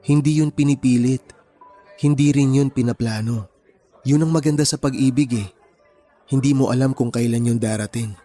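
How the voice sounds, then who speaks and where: Actor as gentle, principled young man, radio drama. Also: mid-range voice, steady tone, moderate pace, pauses often, faint music behind, lightly acted. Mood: gentle, earnest. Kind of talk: Tagalog radio romance drama acting.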